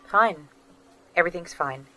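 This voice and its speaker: A bright, guarded estranged wife. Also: female voice, fairly low pitch, expressive, a little slow, slight background noise. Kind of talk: audio drama acting.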